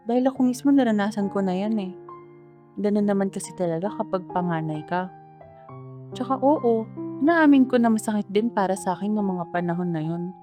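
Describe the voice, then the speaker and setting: Defensive Filipino mother of middle age played by a woman, plaintive, soft-toned, light music behind, for radio drama. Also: medium pitch, close to an everyday voice. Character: defensive middle-aged mother of three, long-suffering.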